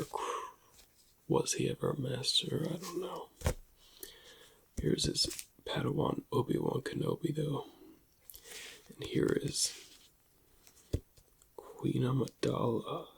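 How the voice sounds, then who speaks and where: Whispering man with heavy gravelly creak. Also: mid-range voice, a little hiss or hum, poor audio. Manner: slow, hushed, lingering delivery. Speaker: ASMR roleplay performer. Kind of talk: whispered ASMR roleplay.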